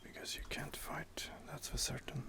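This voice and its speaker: A man whispering ASMR commentary while he plays a video game, musing aloud with many fillers. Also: normal speed. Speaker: game player and ASMR host.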